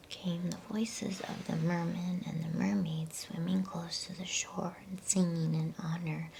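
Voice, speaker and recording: Woman whispering a slow bedtime story. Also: on the low side, slight background noise, rough recording. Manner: hushed, unhurried, breathy whisper. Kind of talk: whispered ASMR storybook reading.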